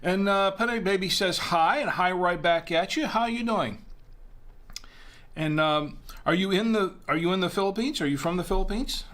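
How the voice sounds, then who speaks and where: A man's voice: high-pitched, normal speed, fairly bright tone. A middle-aged man from Florida hosting a casual wine-tasting livestream, chatting in a folksy way and reading out viewer comments. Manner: chatty, folksy, often trails off and restarts. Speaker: wine review livestream host.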